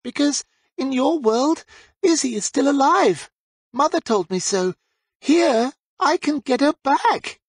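A man's voice: very high voice, lively intonation, slow pace, no echo, studio quality, voice pushed up, exaggerated voice. A man voicing an obsessive, grief-stricken young woman in an audiobook, speaking with chilling certainty. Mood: eerily certain. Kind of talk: audiobook character voice.

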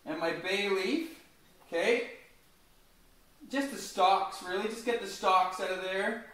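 A man's voice: high-pitched, a bit bright. A man hosting a home cooking video in a kitchen, chatting through each step and talking to his toddler son, recorded from a camera some distance away. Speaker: home cooking show host. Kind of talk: casual cooking demonstration. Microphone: camera mic at a distance. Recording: very echoey kitchen with faint noise.

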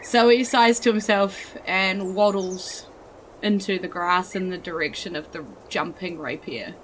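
A woman's voice: fairly low pitch, steady tone, measured pace, fairly bright tone, a little hiss or hum, rough recording. A woman casually improvising narration of her character as a player in a tabletop game podcast.

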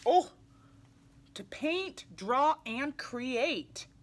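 A young adult woman reading a children's picture book aloud in an animated way, putting questions to young listeners. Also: high voice, very slow. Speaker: read-aloud storyteller for children. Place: small indoor room, seated in armchair.

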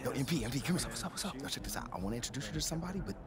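A man playing an excitable, cocky young American buddy in a video game cutscene, slangy and playful, who acts suave but is easily starstruck. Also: medium pitch, rapid-fire, heavy background noise, natural voice. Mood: excited, friendly.

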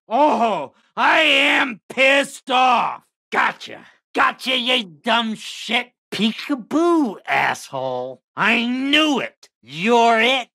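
Nasty raspy killer doll for a horror game, nasal gravelly snarl, mock playful, swings all over in pitch. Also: male voice, pushed and bright, heavily acted. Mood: pained, angry. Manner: menacing mock-playful taunting, heavy swearing. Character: foul-mouthed killer doll, sadistic, taunting, short-tempered man. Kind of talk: video game character voice lines.

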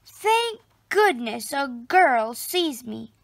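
A woman with a high, very animated voice reads a children's story. She goes very slowly, phrase by phrase, with dramatic pauses. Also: creaky, no echo, studio quality. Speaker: storybook reader. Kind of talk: expressive children's story read-aloud.

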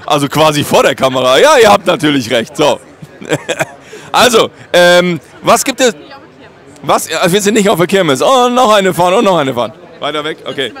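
A man's voice: a little high, lively intonation, normal speed, fairly bright tone, some chatter behind. A man vlogging at a trade fair stand, interviewing guests in a joking, self-mocking, rambling way.

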